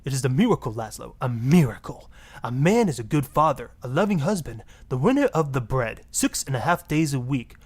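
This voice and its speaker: A flamboyant fake-Latin love guru. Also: male voice, medium pitch, sing-song, brisk, a little mellow, breathy edge. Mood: grandiose. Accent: put-on Latin. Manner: grandiose, preachy, easily offended. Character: flamboyant self-righteous fake-Latin marriage guru and pimp. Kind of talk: parody radio talk-show guest.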